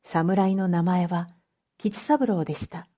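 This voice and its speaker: A woman reading a story aloud as a narrator, in a measured, clearly phrased storytelling manner for reading practice. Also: lowish voice, mellow. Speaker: narrator, Japanese reading teacher.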